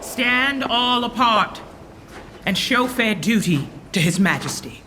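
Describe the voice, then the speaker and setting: A man acting a formal, assertive noble rebel in a Shakespearean radio play, speaking in measured, courtly verse. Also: very high-pitched, lively intonation, music in the background, full character voice. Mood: courtly, firm.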